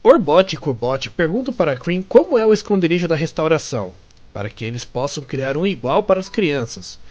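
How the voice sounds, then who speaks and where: A man's voice: fairly high pitch, a little mellow, highs cut off. A Brazilian man narrating a comic-story recap in a conversational, chatty way with amused asides. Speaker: narrator and fan translator of comic stories.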